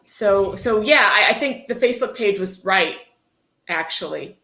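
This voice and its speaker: Woman, livestream host, bright edgy tone, sarcastic asides, blunt, casual read-aloud, echoey room. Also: medium pitch, expressive, measured pace. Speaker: host reading a book aloud with commentary. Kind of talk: casual livestream dramatic reading with commentary. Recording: roomy, echoey sound.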